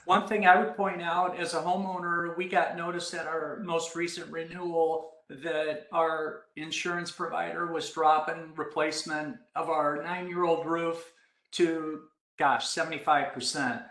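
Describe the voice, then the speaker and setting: A man hosting a webinar, thanking a speaker and handing over to the next one in a friendly, measured way. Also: fairly high pitch, level tone, fairly bright tone, reverberant, low-quality recording. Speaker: webinar host. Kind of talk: moderating a webinar.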